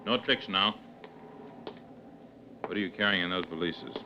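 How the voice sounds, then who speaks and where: A stern night-patrol policeman, speaking in a low, commanding voice with curt phrases. The old radio drama recording is dull and clearly noisy. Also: measured pace. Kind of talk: 1940s radio drama acting.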